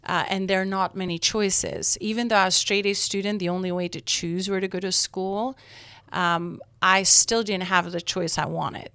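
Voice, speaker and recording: Albanian-accented woman, low and close. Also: steady tone, moderate pace, bright, forceful tone, clear, resonant tone, no echo. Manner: earnest, with frequent ums and restarts. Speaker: guest, escrow company owner. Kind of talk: interview conversation.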